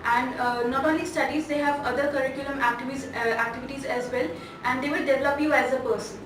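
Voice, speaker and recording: Young female student, North Indian accent, high voice, halting delivery, heavily reverberant room. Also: steady tone. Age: 20s. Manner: hesitant, halting. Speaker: student giving a testimonial. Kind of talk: interview testimonial. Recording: echoey, distant mic, clear background noise.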